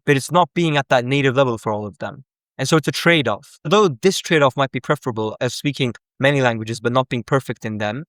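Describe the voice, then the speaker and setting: A man from Sweden with Azerbaijani as his native language talks in a loose, conversational way. His voice sits at a medium pitch and is recorded very dry, with almost no room sound. He explains informally, leaning on "like" and "basically.". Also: a bit bright, no room sound. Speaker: language-learning content creator. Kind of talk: casual explanatory talk to camera.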